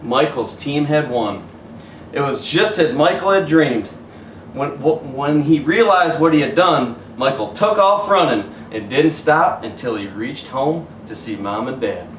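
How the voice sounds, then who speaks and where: A man reading a children's picture book aloud as a coach would, pausing to point out the pictures. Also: medium pitch, expressive, fairly bright tone. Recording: roomy, distant mic, faint hiss.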